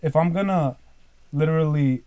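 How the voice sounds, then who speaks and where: Young man of twenty, podcast guest, mellow tone, dry close sound, loose rambling delivery full of "like" and "dude". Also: fairly high pitch, moderate pace, clean tone, no room sound. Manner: rambling, leans heavily on "like" and "dude". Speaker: podcast guest, business owner. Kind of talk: casual podcast chat.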